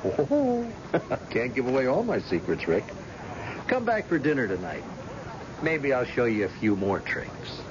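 A man's voice: medium pitch, big pitch swings, measured pace. An actor playing a proud, jovial blind former policeman in old-time radio drama, with a folksy, hesitant manner. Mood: teasing. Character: blind ex-police husband, proud, jovial, self-deprecating tough guy. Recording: dull, noisy old radio broadcast.

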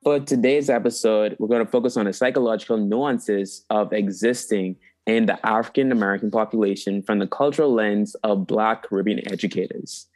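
A young man hosting a casual interview podcast, animated and playful, laughing often and drawing out names for fun. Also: on the low side, a little fast, hardly any pauses. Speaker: podcast host.

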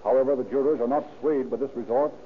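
A man's voice: mid-range voice, steady tone, fast, narrow, tinny audio. A man narrating and announcing in a formal, declamatory 1930s radio style. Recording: thin, hissy 1930s radio recording.

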